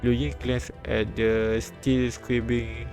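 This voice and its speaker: A man reading a visual novel aloud on a live stream, with halting restarts and bits of commentary. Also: mid-range voice, level tone. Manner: halting, repeats and restarts lines. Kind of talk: live read-aloud translation and narration with commentary. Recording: background music behind the voice.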